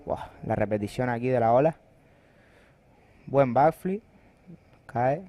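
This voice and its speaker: A man's voice: medium pitch, takes it slowly, no echo, muffled recording. Easygoing male surf co-commentator. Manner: relaxed, agreeing, short reactions. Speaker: bodyboarding co-commentator. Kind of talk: live sports commentary in Spanish.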